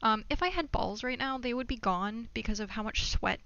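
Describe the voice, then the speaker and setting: Woman game streamer, bright forceful voice, giddy and self-deprecating, casual rambling chat, close dry lo-fi mic. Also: on the high side, moderate pace, dry recording. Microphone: budget desktop microphone.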